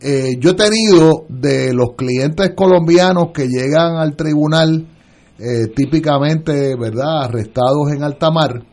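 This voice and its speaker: Halting man, slightly high voice. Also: darkish tone. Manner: hesitant, many filler sounds and restarts. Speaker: lawyer and panel guest. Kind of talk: political radio panel discussion.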